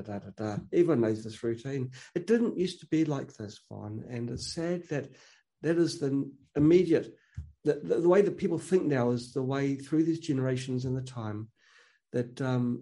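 Mellow-voiced man, earnest and opinionated. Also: medium pitch. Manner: earnest, opinionated, frequent restarts. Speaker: guest. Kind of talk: casual conversational interview.

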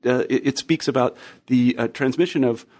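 A man's voice: medium pitch, moderate pace, darkish tone. A man hosting a history book podcast, reading an introduction and then chatting with a guest, with some fillers and restarts. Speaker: podcast host. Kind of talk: scripted intro then interview.

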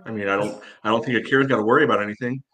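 A man's voice: on the low side, fairly even intonation, very fast, fairly bright tone. A man on a sports podcast giving casual, matter-of-fact analysis and readily pushing back on points.

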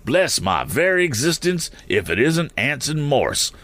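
A man voicing a fussy, excitable eccentric gentleman who exclaims in a flustered way in a storybook. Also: fairly high pitch, a little slow, no echo, pitched above the performer's own voice, heavily acted. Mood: astonished.

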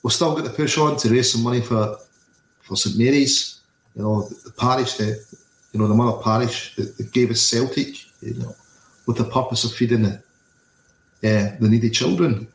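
A man's voice: medium pitch, moderate pace, creaky. A Scottish man co-hosting a casual football podcast over a video call. He rambles and repeats himself, with lots of you-knows. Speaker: podcast co-host. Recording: echoey room with faint ambience.

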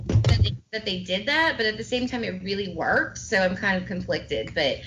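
A woman with a high-pitched voice hosting a casual podcast chat, talking enthusiastically and rambling with many fillers. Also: lively intonation, moderate pace, a bit bright, a little hiss or hum, poor audio. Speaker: podcast host.

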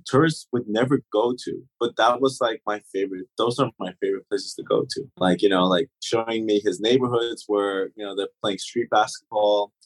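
A man in his thirties from Turks and Caicos, a guest in an interview, telling stories in a relaxed way with many fillers and restarts. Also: lowish voice, low-quality recording. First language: German. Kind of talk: casual interview storytelling.

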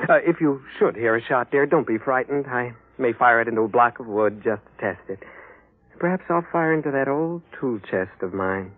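An actor playing a scheming double posing as a loving husband in a classic radio drama, suave with calculating pauses. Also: male voice, medium pitch, expressive, lightly acted. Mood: falsely casual. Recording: tinny old radio recording.

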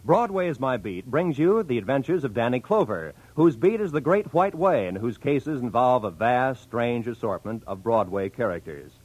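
A man's voice: medium pitch, big pitch swings, normal speed, hardly any pauses, a little mellow, slightly rough, no echo, poor audio. An American man announcing on 1940s radio in a polished, declamatory style. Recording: vintage radio broadcast, music underneath.

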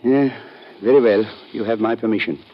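An actor playing an effusive, protective middle-aged Portuguese uncle in an old radio drama, with formal, foreign phrasing. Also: male voice, lowish voice, normal speed, loud music behind the voice, lightly acted. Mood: worried, reluctant. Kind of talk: 1950s radio drama acting. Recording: muffled old radio recording.

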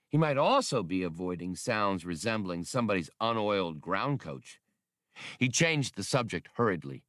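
Expressive male narrator, low-pitched and dry-sounding. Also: no echo. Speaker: audiobook narrator. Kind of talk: scripted fiction narration.

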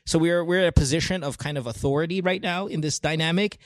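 A man hosting a call-in talk show, animated and laughing often, mixing in Tagalog. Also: on the high side, fast talker, no room sound, studio quality. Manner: animated, laughs a lot, mixes Tagalog into English. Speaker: host.

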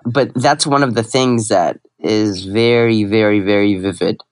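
Young guy from iraq telling his story, lowish voice, dry close sound, lots of restarts. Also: measured pace, no echo. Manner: reflective, with frequent restarts and fillers. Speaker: guest. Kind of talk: personal storytelling in an interview.

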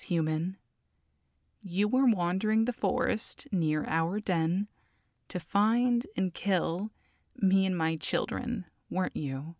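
A woman's voice: fairly low pitch, darkish tone, dry recording, clean studio sound. A woman voicing a small, smug, flirty goblin mother who teases and taunts in an ASMR audio roleplay. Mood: taunting, accusing. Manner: teasing, smug, drawn-out villainous taunting.

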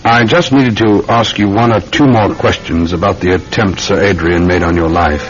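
An actor playing a polite Scotland Yard police sergeant in a radio drama, with a formal, probing manner. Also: male voice, low-pitched, fairly even intonation, normal speed, natural voice. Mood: courteous, probing. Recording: muffled, noisy vintage-style radio recording.

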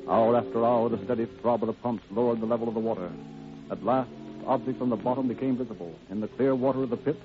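A grave, formal crime-show narrator, played by a man, delivers dramatic scripted narration at a fast clip. Prominent music runs behind him, and the vintage radio recording is tinny. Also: medium pitch, lightly acted.

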